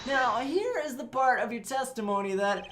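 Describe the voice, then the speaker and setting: A woman's voice: fairly high pitch, expressive, normal speed, fairly bright tone, faint music behind. A woman voicing a wry, sarcastic young male defense attorney in a video game fan dub, wisecracking and emphatic. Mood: sarcastic, pressing.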